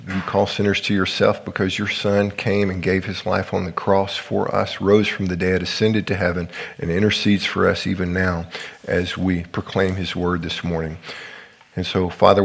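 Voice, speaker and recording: A pastor who is sick and sounds deeper than usual, leading a prayer and starting his sermon with casual asides and fillers.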